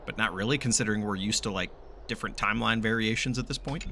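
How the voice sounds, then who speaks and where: A man's voice: fairly low pitch, quick pace, sharp tone, slight background noise. A man streaming a game with casual, blunt, sarcastic reaction commentary.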